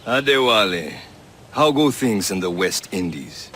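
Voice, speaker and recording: An actor playing a dignified, calculating older mentor with formal, measured speech in a video game. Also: male voice, on the low side, sing-song, a bit bright, a little hiss or hum. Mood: welcoming. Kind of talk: video game cutscene acting.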